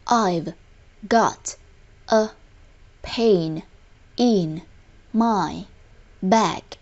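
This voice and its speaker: Female language-lesson narrator, medium pitch, very slow scripted read with careful word-by-word enunciation. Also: darkish tone, dull audio. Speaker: language teacher.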